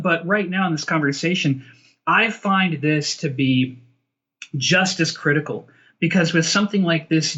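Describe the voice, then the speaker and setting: A man's voice: fairly high pitch, a bit bright, highs cut off. A man talking as an interview guest in casual, opinionated conversation, emphatic and repeating phrases to drive points home. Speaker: interview guest, film director.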